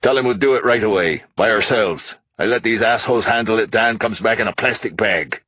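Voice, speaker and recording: Guy doing a drunk rich old blowhard, yelling, husky and kinda muffled. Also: medium pitch, expressive, fairly quick, dry recording, pitched above the performer's own voice. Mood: furious. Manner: bellowing, scornful. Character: rich drunken older man, crude and bullying.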